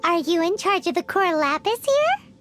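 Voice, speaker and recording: A woman voicing a small, childlike, excitable floating companion in a video game. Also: very high voice, moderate pace. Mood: cheerful. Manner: refers to self in third person, exclamatory. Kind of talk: video game character acting. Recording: studio voice under game music.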